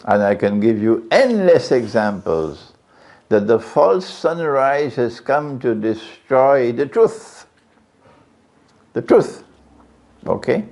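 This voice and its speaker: A man's voice: mid-range voice, very animated, slow pace, pauses often, darkish tone. A male Islamic preacher giving a religious lecture to a live audience, questioning the listeners and repeating key phrases. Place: lecture venue. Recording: dull lo-fi lecture recording.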